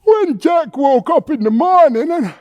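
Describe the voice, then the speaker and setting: A woman's voice: high voice, lively intonation, darkish tone, dry recording. Casting: female bedtime storyteller, theatrical and suspenseful, widely swooping intonation, close dry sound. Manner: dramatic, drawn-out phrasing with suspenseful pauses.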